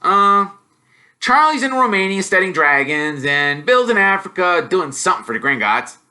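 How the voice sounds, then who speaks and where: A man voicing an excitable, blunt young wizard boy who blurts loudly and is easily made gloomy. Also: high-pitched, moderate pace, voice pushed up, full character voice. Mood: excited. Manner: loud, blurting, animated.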